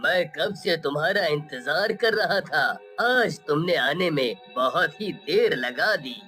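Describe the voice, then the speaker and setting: A greedy male graveyard ghost from a horror-comedy cartoon, played by a woman. The voice is sharp and forceful, stretching words and hums slowly over faint music. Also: sits low, expressive, exaggerated voice. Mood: pleased. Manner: drawn-out hums and gloating laughs. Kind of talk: animated horror-comedy storytelling.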